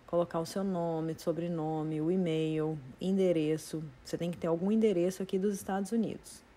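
A woman's voice: lowish voice, steady tone, normal speed, mellow. A woman with a Brazilian Portuguese accent, chatting casually to her viewers as she explains a tip and walks through meal prep in her home kitchen. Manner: chatty, informal, addresses viewers directly. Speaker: vlogger. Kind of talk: casual how-to narration.

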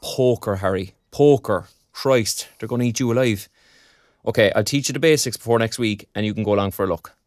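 A man's voice: fairly low pitch, fairly quick, darkish tone, no echo, clean studio sound, close to an everyday voice. A man with an Irish accent playing a young graduate in an audio drama, teasing and encouraging in colloquial talk. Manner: colloquial, bantering. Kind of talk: naturalistic audio drama dialogue.

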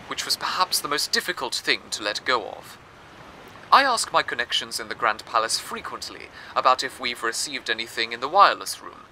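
A man's voice: mid-range voice, bright, forceful tone, slight background noise, low-quality recording. A man playing a cheerful, theatrical presenter on a fictional old-time radio show, talking to his listeners. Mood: reflective. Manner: theatrical, self-congratulatory. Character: cheerful, grandiose male radio presenter in a rusting city. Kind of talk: 1940s radio presenter acting.

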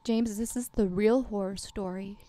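A woman's voice: medium pitch, steady tone, normal speed, a crowd in the background. A woman chatting casually on a livestream as a guest storyteller, with a wry, self-deprecating way of joking. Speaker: guest storyteller and game master.

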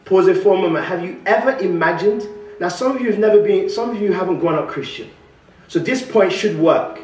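A man's voice: high-pitched, fairly quick. A young man from England with a West Midlands accent, preaching a sermon and calling out questions to the congregation. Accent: West Midlands English. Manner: animated, calls out questions to the congregation; gestures broadly at the pulpit. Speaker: guest preacher. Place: large church hall, indoors. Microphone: stand mic at pulpit, far camera. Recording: roomy church echo, mic at a distance.

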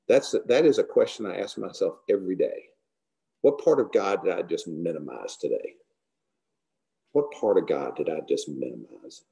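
Mellow-voiced middle-aged man, earnest devotional talk. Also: low voice, gravelly. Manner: earnest, unhurried, trailing off softly at line ends. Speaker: guest speaker. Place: large hall. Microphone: camera close below the face.

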